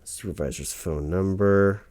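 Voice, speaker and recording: A man playing a patient, officious human resources officer, haltingly reading out form fields in a one-sided ASMR roleplay. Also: deep voice, darkish tone, dry recording. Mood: businesslike. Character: officious, patient male HR officer doing paperwork. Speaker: human resources interviewer filling out forms.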